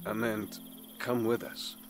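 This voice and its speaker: A man playing a weary, conscientious assassin in an audio drama, speaking gravely and tersely. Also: on the low side, flat delivery, slow pace, music in the background. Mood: subdued, gentle. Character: brooding, conscientious male assassin, weary and sober.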